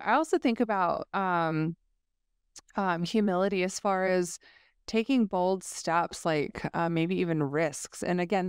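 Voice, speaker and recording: A woman's voice: lowish voice, clean tone, dry recording, clean studio sound. A middle-aged woman co-hosting a women's Bible study podcast, talking in a rambling, casual way with many fillers and restarts. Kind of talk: casual faith discussion.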